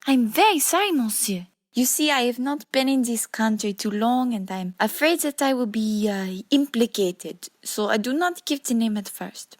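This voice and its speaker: A nervous young Frenchwoman in a radio mystery, with a high voice and a French accent. She speaks politely in broken English, hesitating as she searches for words. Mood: apologetic, worried. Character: nervous young French immigrant woman, crime witness. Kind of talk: radio detective drama acting.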